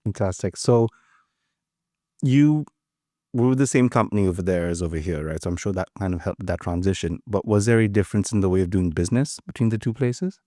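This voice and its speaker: A man's voice: on the low side, fairly quick, dark tone, no room sound, clean studio sound. A man hosting a podcast, enthusiastic and friendly as he introduces and questions his guest. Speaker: podcast host. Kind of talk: casual interview chat.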